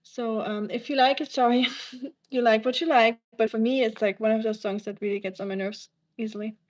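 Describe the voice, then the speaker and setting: A woman's voice: fairly high pitch, steady tone, a little fast, a little mellow. A woman from Germany with a German accent, chatting casually on a livestream and answering viewers in a rambling, teasing way.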